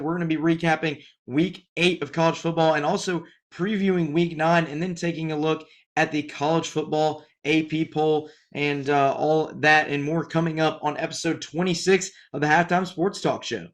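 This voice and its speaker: Raspy-voiced young man hosting sports talk. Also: fairly high pitch. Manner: casual, rambling, many fillers and restarts. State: raspy, strained voice. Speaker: sports talk show host. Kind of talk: solo sports podcast commentary.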